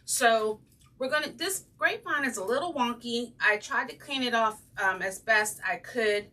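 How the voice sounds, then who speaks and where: A woman with a slightly raspy voice hosting a casual crafting livestream, chatting with viewers and saying um often. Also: fairly high pitch, moderate pace, sharp tone, distant mic. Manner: chatty, greets viewers by name, frequent ums. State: slightly raspy from breathing trouble. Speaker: craft channel host.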